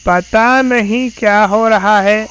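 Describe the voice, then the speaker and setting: A man playing a smug, girl-crazy young fellow with a dreamy, boastful manner in an animated horror story. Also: sits high, takes it slowly, clear, resonant tone. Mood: puzzled.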